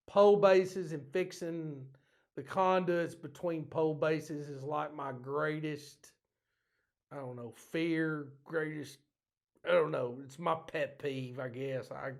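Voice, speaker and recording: A man hosting a podcast, ranting bluntly in a rambling, casual way, his voice a little hoarse. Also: on the high side. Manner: ranting, blunt, self-deprecating. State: slightly hoarse. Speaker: podcast host.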